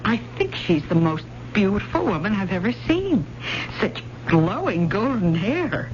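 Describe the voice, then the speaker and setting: An actress playing an earnest, hesitant adult student in a radio drama, speaking with careful, storytelling delivery. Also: female voice, mid-range voice, very animated, a little slow. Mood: shy, admiring. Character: earnest, hesitant, probing female adult student of old ballads. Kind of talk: 1970s radio drama acting. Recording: muffled, noisy old radio broadcast.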